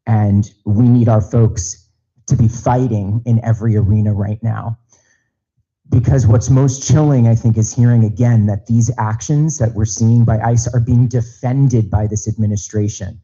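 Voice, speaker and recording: Man, committee member, husky voice, dull livestream sound. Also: on the low side, steady tone, moderate pace, mellow. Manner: earnest, repeats phrases and restarts. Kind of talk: speech at a public meeting. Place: large auditorium.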